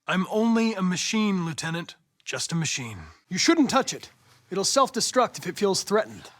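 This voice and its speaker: Young male android investigator, American accent, polite, precise, insistent, animated pitch, dry studio sound, game dialogue. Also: no room sound. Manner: precise, clipped, formal, measured, insistent when pressed. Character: young male android police investigator, polite, composed, relentlessly mission-driven. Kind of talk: video game voice acting, isolated dramatic lines.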